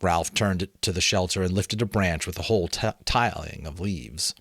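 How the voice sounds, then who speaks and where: A middle-aged man giving a dramatic, expressive amateur audiobook reading of a novel. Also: deep voice, normal speed, creaky, husky, dry recording, studio quality. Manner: expressive, theatrical storytelling. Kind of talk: dramatic audiobook-style narration.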